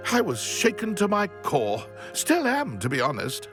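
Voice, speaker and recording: A man's voice: sits high, huge pitch swings, unhurried, music in the background, full character voice. A man playing a refined, shaken widower in a video game, with a florid, dramatic manner. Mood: mournful, shaken. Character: shaken, mournful widower, refined and fearful gentleman. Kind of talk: video game voice acting, scripted dialogue.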